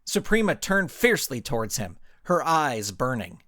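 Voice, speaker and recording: A man's voice: medium pitch, big pitch swings, moderate pace, a bit bright, dry recording, clean studio sound. A man reading scripted dramatic narration as a storyteller. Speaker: author and narrator.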